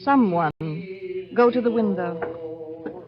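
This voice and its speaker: A woman's voice: on the low side, slow, a loud crowd. An actress playing a seductive, scheming lady in a 1950s radio drama, composed and coaxing. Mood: urgent. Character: coolly seductive, scheming lady plotting murder. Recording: muffled vintage radio recording.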